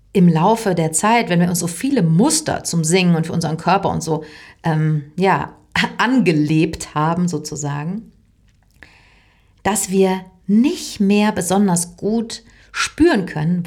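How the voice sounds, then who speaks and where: A middle-aged woman voice teacher explaining her work in a calm, thoughtful way, sometimes dropping into a soft whisper. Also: on the low side. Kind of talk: reflective explanatory monologue.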